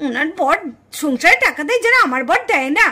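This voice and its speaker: A woman's voice: sits high, very animated, brisk, sharp tone, heavily acted. A woman voicing a quarrelsome, indignant village daughter-in-law in a puppet cartoon family drama. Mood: angry, defiant. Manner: scolding, indignant, exclamatory.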